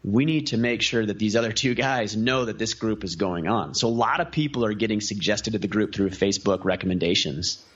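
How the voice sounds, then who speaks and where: Earnest low-voiced man, dull lo-fi audio. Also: animated, fairly quick, fairly bright tone, husky. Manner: earnest, piles up lists of examples. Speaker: podcast guest, community founder. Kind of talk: casual interview talk.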